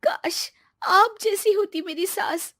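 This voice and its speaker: A woman's voice: very high voice, slow, a bit bright, no echo, clean studio sound, voice pushed up, full character voice. A woman voicing a young, gullible, aggrieved daughter-in-law in a cartoon story, earnest and plaintive. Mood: resentful. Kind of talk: cartoon story character acting.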